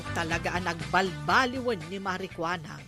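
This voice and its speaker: A woman playing a nosy, gossipy village neighbour in a comic radio drama, full of drawn-out exclamations. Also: fairly low pitch, expressive, loud music behind the voice. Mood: indignant gossip.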